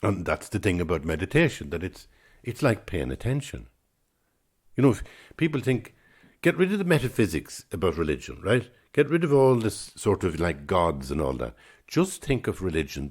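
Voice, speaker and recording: A man in his sixties with an extremely deep, husky voice hosts a solo podcast. He muses thoughtfully, circling back and repeating words as he works out each idea. His tone stays unhurried and reflective. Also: big pitch swings, darkish tone. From Ireland. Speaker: podcast host.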